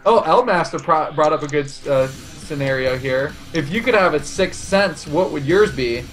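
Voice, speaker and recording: A man's voice: a little high, lively intonation, moderate pace, a bit bright, soft background music. A young man casting esports, cracking silly jokes and laughing through casual banter on a headset. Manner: playful, jokey, laughs often. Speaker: esports caster. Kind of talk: casual chat between casters.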